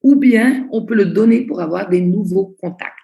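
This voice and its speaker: A woman leads an online training session, speaking slowly and deliberately and repeating her key points. Her voice is mellow with a gravelly edge. The video call sound is roomy and echoey. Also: medium pitch. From Belgium. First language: Italian. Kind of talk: online training presentation, spoken slowly for interpreters.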